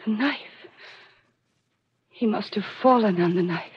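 A woman's voice: mid-range voice, measured pace, close to an everyday voice. A woman playing a frightened, frantic character in a 1940s radio mystery, on a dull, noisy old recording. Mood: frightened, shocked. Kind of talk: 1940s radio melodrama acting.

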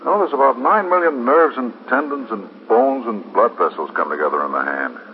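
A man's voice: medium pitch, lively intonation, brisk, natural voice. An American man playing a chatty, sympathetic police desk officer in a 1950s radio drama, with a folksy, conversational manner. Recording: dull 1950s radio with faint noise.

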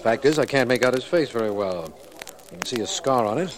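An actor playing a skeptical district attorney in 1940s radio drama style, dry and gently teasing. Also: male voice, mid-range voice, expressive, fairly quick, close to an everyday voice. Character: dry, skeptical middle-aged district attorney, detective's friend. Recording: old radio recording, murmuring crowd.

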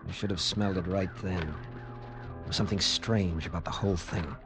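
A man's voice: low-pitched, steady tone, normal speed, natural voice. An American man playing a wary military pilot lieutenant in slangy, clipped 1940s radio drama style, both in dialogue and narration. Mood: wry, ominous narration. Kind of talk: 1940s radio acting and first-person narration. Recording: noisy vintage radio drama recording.

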